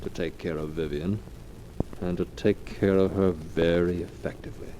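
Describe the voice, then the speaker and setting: An actor playing a charming, scheming scoundrel in a 1940s radio drama, suave and persuasive. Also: male voice, low-pitched, steady tone, moderate pace, dark tone, lightly acted. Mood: smooth deceit. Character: smooth-talking, charming, scheming scoundrel wooing two heiresses. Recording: noisy old radio drama recording.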